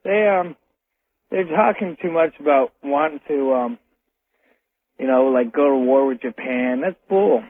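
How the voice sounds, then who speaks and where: An American man phoning a radio show, talking casually and haltingly and repeating his words. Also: medium pitch, no echo. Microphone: telephone. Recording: tinny phone line on radio.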